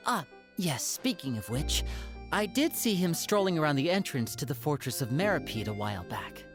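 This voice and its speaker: A woman's voice: low-pitched, very animated, moderate pace, music in the background. An actress playing a composed, courteous young female magician's assistant in a video game, speaking politely and articulately. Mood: calm, informative.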